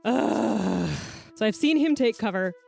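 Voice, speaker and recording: Animated woman player over music. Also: on the high side, unhurried, creaky. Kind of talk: tabletop game roleplay.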